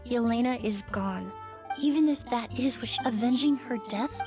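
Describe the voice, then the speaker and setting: An actress voices a composed, thoughtful young woman in a story-driven video game. Her voice sits a little high and her delivery is measured and earnest, with music underneath. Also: natural voice. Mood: gentle, reflective. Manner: measured, explanatory. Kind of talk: video game dialogue acting.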